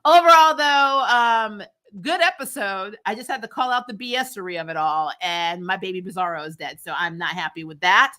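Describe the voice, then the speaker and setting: A woman hosting a recap show, giving casual, opinionated TV review chat in an animated, blunt, exasperated way with plenty of swearing. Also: mid-range voice, normal speed. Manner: animated, exasperated, blunt and profane, mock-scolding; laughs often while talking.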